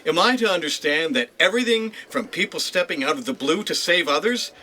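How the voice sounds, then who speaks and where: An inquisitive space detective, acted by a man with courteous, wordy phrasing. The voice is bright and animated, slightly high, with faint noise behind, in a sci-fi comedy audio drama. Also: brisk. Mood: curious, courteous. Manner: wordy, well-mannered, inquisitive.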